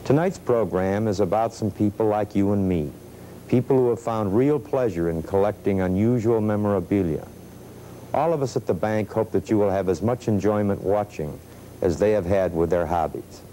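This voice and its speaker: A middle-aged American man with a low, mellow voice reads a formal sponsor introduction as a bank executive. The old videotape adds a faint rumbling noise. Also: moderate pace, rough recording. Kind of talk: scripted sponsor address.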